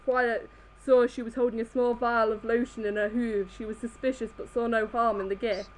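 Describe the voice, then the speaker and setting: A woman's voice: on the high side, flat delivery, fairly quick, rough recording. A woman reading fan fiction aloud live, stumbling over the text as she goes. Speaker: reader in a group comedy reading.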